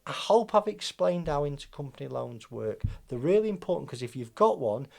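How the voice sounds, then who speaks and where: A man's voice: fairly high pitch, very animated, a little fast, vocal fry, darkish tone. A British man presenting business advice in an informal, conversational explainer style, often checking in with the listener. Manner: conversational, checks in with "right?" and "okay?".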